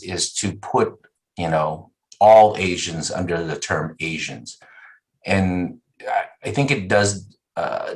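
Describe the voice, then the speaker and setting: Man, very deep voice, measured, explanatory, interview guest. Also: low-quality recording. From United States. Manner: measured, explanatory, uses "you know" and "right?" often. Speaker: guest, nonprofit executive. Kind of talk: interview answers.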